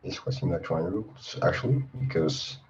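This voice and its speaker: A man's voice: deep voice, brisk, darkish tone. A man answering informally as a guest on an interview panel. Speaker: guest, startup software engineer. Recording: dull, noisy online call audio.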